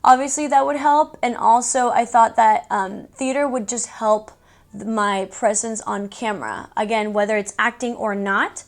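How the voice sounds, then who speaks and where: Young woman, native Spanish speaker, higher-pitched voice, informal and candid, casual Q&A vlog style. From born in Mexico City, raised in Houston, USA. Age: young adult. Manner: candid, informal, conversational asides. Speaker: beauty YouTuber.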